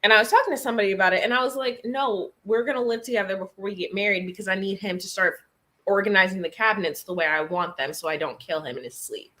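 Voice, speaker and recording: A woman in her twenties telling stories in casual, animated chat, swearing freely as she goes. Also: mid-range voice, fast. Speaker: guest on a group reading livestream.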